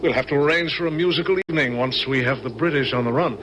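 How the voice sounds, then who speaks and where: A resolute, courteous general played by a male actor in a vintage radio drama, with an average-pitched male voice. He speaks formally and with measured authority. The old broadcast has clear noise over it. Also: dull audio, close to an everyday voice. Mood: genial, courteous. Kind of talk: 1970s radio drama acting.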